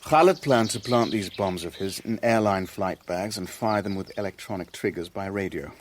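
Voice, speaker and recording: A man's voice: deep voice, normal speed, close to an everyday voice. An actor as Michael Howell, sardonic businessman, noisy background. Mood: insistent. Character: shipowner Michael Howell, sardonic, defensive businessman under attack. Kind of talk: radio drama acting.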